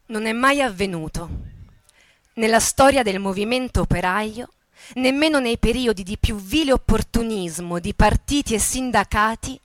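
A woman's voice: fairly high pitch, normal speed, no echo, natural voice. A woman acting an impassioned narrator in a theatrical radio play, with emphatic, declamatory delivery. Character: impassioned female narrator of workers' revolt and hostile headlines. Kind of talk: theatrical dramatic reading.

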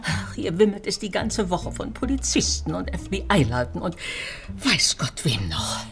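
A talkative small-town landlady in a radio crime play. Her voice is on the low side and swings very widely, homely and full of gossipy relish, with music clearly behind it. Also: sing-song, unhurried. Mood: relishing, scandalized. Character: chatty, gossipy female guesthouse owner, homely and excitable. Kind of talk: German radio crime drama acting.

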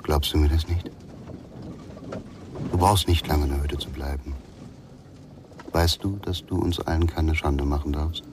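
Very deep, menacing stern father. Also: steady tone, a little slow, long pauses. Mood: accusing, coaxing. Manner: quietly menacing, accusing, coaxing. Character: stern controlling father pressuring his silent son. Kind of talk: German radio crime drama acting. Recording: distant noisy pickup, vehicle-like rumble.

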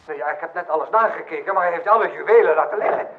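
Actor as a nervous, rapid-talking notary. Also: male voice, on the high side, natural voice. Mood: fretful. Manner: stammering, excitable, repeats himself. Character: nervous, fretful male notary, easily alarmed. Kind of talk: Dutch comic radio play acting. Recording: muffled, hissy old radio recording.

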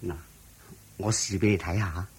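An actor playing an earnest, anxious young monk with a husky voice and fretful manner, in Hong Kong Cantonese radio drama style. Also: male voice, low-pitched, steady tone, moderate pace, background noise, close to an everyday voice. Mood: earnest, respectful.

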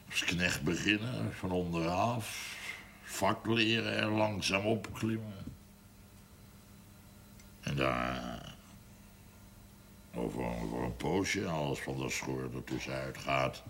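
An actor playing a gruff, stubborn older brewery man in a television drama, talking bluntly and haltingly in mutters. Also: sits low, fairly bright tone, a little hiss or hum, full character voice. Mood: musing, planning. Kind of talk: 1970s television drama acting.

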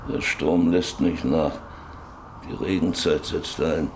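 An actor playing a sick, stubborn, lonely old rancher in a German radio play, halting and wistful, lost in memories. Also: male voice, rumbling low, fairly even intonation. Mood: weary, brooding. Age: older. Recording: noisy radio play with ambient sound.

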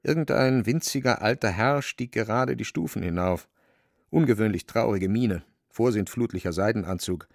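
A man's voice: lowish voice, vocal fry, no echo, clean studio sound. A man narrating a radio play in a wry, dramatic literary storytelling manner.

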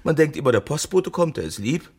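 An actor playing a sick, volatile, murderous man in a radio drama, husky, mocking and petulant. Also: a little high, big pitch swings, fairly quick, a little mellow. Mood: sardonic. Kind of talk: German radio drama acting.

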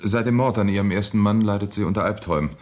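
A man acting in a radio drama as a cornered, uneasy husband under blackmail, defensive and at times halting. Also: lowish voice, a little mellow, natural voice. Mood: guarded, explaining. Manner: tense, defensive, sometimes halting.

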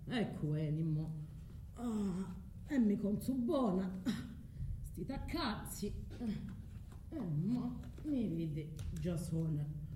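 Scorned, vengeful migrant woman: earthy Calabrian accent, slow halting radio-drama delivery, slightly noisy recording. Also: mid-range voice, animated, dark tone. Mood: scornful, scheming. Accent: southern Italian, Calabrian. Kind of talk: theatrical radio monologue with dialect. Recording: roomy echo, faint background noise.

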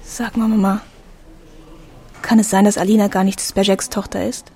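An actress playing a probing, skeptical teenage daughter in radio drama dialogue. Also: female voice, mid-range voice, flat delivery, normal speed, mellow, husky. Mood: curious, probing.

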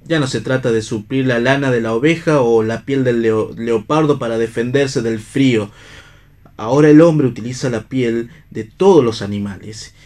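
A man's voice: mid-range voice, moderate pace, darkish tone, lightly acted. An Argentine man playing a bitter, idealistic, disillusioned stage actor in a radio theatre reading.

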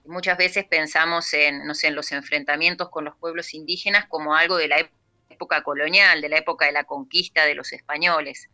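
A woman's voice: deep voice, steady tone, fairly quick, sharp tone. A woman historian, speaking thoughtfully in a radio interview with many restarts. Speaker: local historian.

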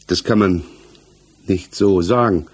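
Guarded village businessman, low husky voice, subdued, evasive, radio crime drama. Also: slow pace, full character voice. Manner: guarded, matter-of-fact answers. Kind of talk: 1960s German radio play acting, interrogation dialogue.

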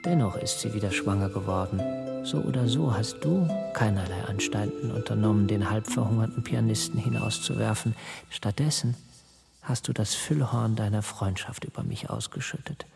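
An actor in a radio drama playing an ailing pianist, a gentle and measured father speaking his farewell. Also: fairly low pitch, vocal fry, music in the background. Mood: tender, solemn. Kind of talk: radio drama acting, letter reading.

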